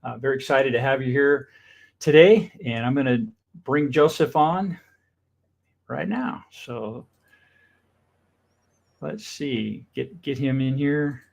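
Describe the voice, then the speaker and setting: A man's voice: medium pitch, slow, gravelly, pauses often. An upbeat male talk show host with a mid-range voice. He welcomes and questions his guest in a friendly way, often with enthusiastic interjections. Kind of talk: casual interview hosting.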